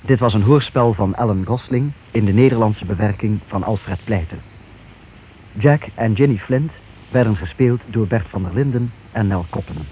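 Dark, low-voiced male radio announcer. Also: moderate pace, muffled recording. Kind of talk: scripted credits announcement. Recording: hissy old radio recording.

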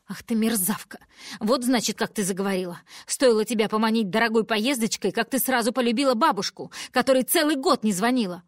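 A woman's voice: a little fast, no room sound, lightly acted. A woman voicing a furious, cruel, drinking middle-aged mother who screams at her daughter, in a dry, close recording. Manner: shrill screaming, venomous.

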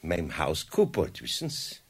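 An actor playing a kindly, evasive elderly master carpenter in a 1970s radio play, speaking with a Bavarian accent and hesitating often. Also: male voice, a bass voice, wildly swooping pitch, measured pace, fairly bright tone, slightly rough, full character voice. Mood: amused. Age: older. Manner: hesitant, stammering with fillers.

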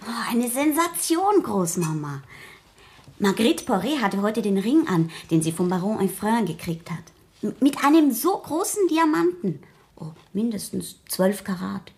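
An actress playing a lively, naive teenage schoolgirl in a German radio play, chattering eagerly and wheedling. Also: mid-range voice, unhurried. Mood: excited, chattering.

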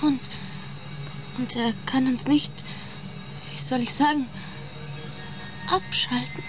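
Female spaceship engineer: anxious, halting, high pitch, slow delivery, radio drama. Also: fairly even intonation, over music, poor audio. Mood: afraid, halting. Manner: halting, fearful.

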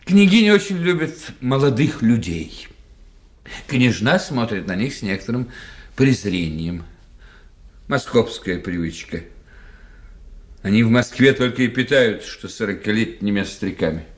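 An actor playing a witty, sceptical society doctor in a radio play, gossiping in a wry, theatrical way. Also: male voice, mid-range voice, animated, measured pace, pauses often, lightly acted. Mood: gossipy, amused. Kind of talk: radio drama acting.

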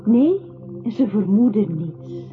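A woman acting a composed, coolly analytical narrating voice in a literary radio play, speaking deliberately. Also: medium pitch, big pitch swings. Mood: firm. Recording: tinny band-limited radio drama, music behind.

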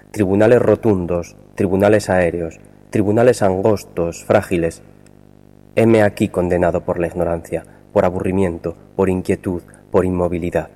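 A man reciting poetry solemnly, in measured phrases with deliberate pauses. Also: sits low, dark tone, in a large hall.

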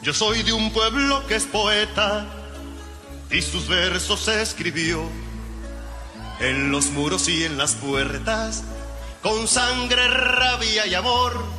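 A man singing a folk song slowly and melodically, played from a record. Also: sits high. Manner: slow, melodic singing over guitar. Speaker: singer. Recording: song played over loud music.

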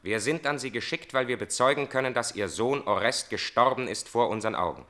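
An actor playing a grave, steadfast young stranger bearing a message in a classical radio tragedy, speaking in a solemn, insistent way. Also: male voice, medium pitch, lively intonation, fairly quick, sharp tone. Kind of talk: classical tragic radio play acting.

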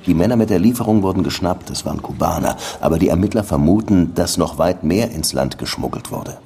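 An actor playing a world-weary, dogged police detective who also narrates, in a radio drama, with a measured, dry delivery. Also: male voice, low voice, lively intonation, warm tone. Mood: insistent. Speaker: lead detective and first-person narrator.

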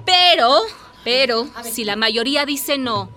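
Fiery high-voiced village woman. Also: expressive, slow pace, sharp tone, exaggerated voice. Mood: indignant. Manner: impassioned, oratorical. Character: fiery village herb seller rallying her neighbours. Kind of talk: radio drama acting. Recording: crowd murmur behind the voice.

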